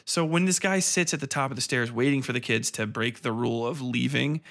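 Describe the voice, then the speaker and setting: A man's voice: mid-range voice, brisk, a bit bright. A man hosting a podcast, giving a casual, opinionated film review in a profane, incredulous manner.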